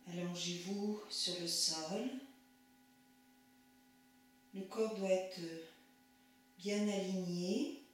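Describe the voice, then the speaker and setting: A woman guiding a yoga relaxation as an instructor, calm and measured, giving short instructions with pauses. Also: lowish voice. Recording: very echoey room, distant microphone.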